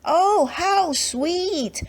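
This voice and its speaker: A woman voicing an eager, easily distracted little girl in a storybook reading, speaking high, sweet and slow. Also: heavily acted. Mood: childlike. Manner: sweet, excitable.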